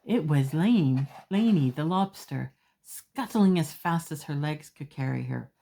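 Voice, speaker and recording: Female picture-book reader, lower register, leisurely and expressive, speaking simply and emphatically to small children. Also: a little slow, creaky, slight background noise. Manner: simple, emphatic phrasing with small asides to young listeners. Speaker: children's storyteller.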